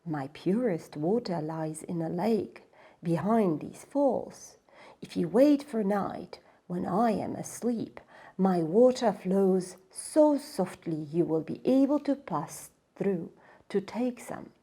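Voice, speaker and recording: Calm storybook waterfall spirit played by a woman, soft, breathy and unhurried, gentle yet firm. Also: mid-range voice, voice pushed down, full character voice. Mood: hushed, firm. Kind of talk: children's storybook read-aloud.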